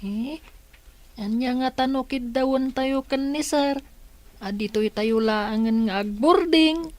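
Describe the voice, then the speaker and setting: Slow-talking puzzled younger woman. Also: sits high. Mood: puzzled, wondering. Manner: questioning. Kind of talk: radio drama acting.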